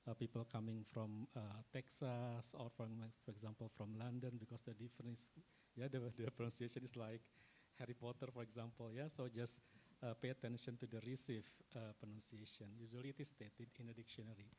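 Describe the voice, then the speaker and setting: A man moderating a conference Q&A, speaking in a measured way with frequent fillers. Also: medium pitch, little pitch movement, warm tone, a little hiss or hum.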